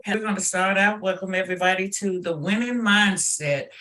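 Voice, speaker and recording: A man's voice: high voice, brisk, music in the background. A man hosting a podcast, introducing it in a conversational way. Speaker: podcast host.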